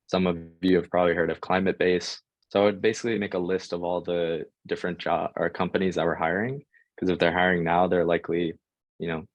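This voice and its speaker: Very deep-voiced American man, flat delivery. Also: dry recording, muffled recording. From United States. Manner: hesitant, filler-heavy delivery. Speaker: guest sharing job-search advice. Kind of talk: informal panel answer.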